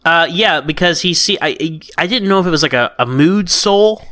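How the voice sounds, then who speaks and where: A man co-hosting a casual review chat, talking in a rambling, enthusiastic, halting way. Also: fairly high pitch, expressive, sharp tone, no echo, clean studio sound. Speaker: podcast co-host.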